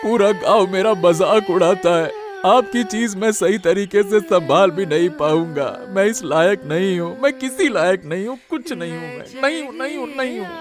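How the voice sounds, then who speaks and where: A man plays a naive young village vegetable seller in a cartoon. His high voice is humble and hesitant, with a dejected temper. Faint music plays behind. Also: normal speed, close to an everyday voice. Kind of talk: Hindi moral-story cartoon dubbing.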